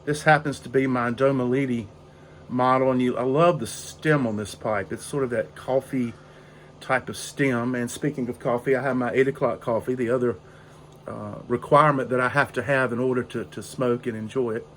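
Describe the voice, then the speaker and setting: An older man, raspy and hoarse from a cold, rambling slowly through a casual story on his porch. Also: mid-range voice, steady tone, a little hiss or hum. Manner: slow, rambling, with frequent fillers. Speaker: pipe-smoking video host. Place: outdoor porch in drizzling rain.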